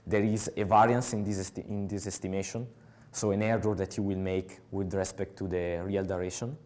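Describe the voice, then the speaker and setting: A young man with an Italian accent giving a technical talk at a conference, pausing often with filler sounds, on a headset mic in a large hall. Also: sits low, level tone, darkish tone. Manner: halting, many filler uhs. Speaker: conference speaker.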